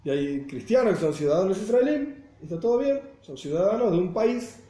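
A man's voice: high-pitched, lively intonation, fairly quick, dark tone. A man from Argentina with a Buenos Aires accent, teaching a religious class and explaining with rhetorical questions. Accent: Buenos Aires Spanish. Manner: explanatory, asks rhetorical questions, checks listeners follow. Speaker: Torah teacher. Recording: roomy echo.

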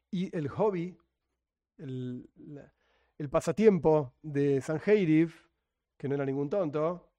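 A middle-aged man with a Rioplatense Spanish accent teaching an informal religious class. His voice sits high for a man. He jumps between explanation, rhetorical questions and teasing, sarcastic remarks aimed at his students. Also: dark tone. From Argentina, Buenos Aires. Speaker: Torah teacher.

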